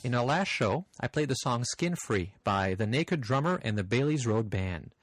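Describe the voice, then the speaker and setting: A man with a slight French accent who hosts a podcast, reading listener mail in easygoing, friendly chat with frequent pauses. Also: medium pitch, animated, no echo, studio quality, soft background music. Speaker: podcast host.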